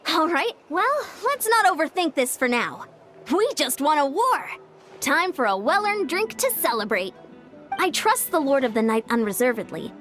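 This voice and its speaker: A woman's voice: sits high, very animated, normal speed, a bit bright, faint music behind. A woman playing a friendly, upbeat young warrior in an anime-style video game, speaking expressively. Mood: reassuring, upbeat.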